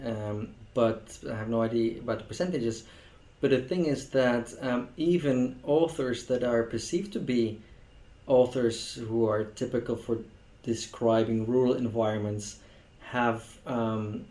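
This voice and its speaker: A man from Belgium whose first language is Dutch, speaking as a literary scholar in an interview, pausing often with fillers and restarts. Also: mid-range voice, darkish tone. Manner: hesitant, many fillers and restarts. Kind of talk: interview answer. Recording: echoey room.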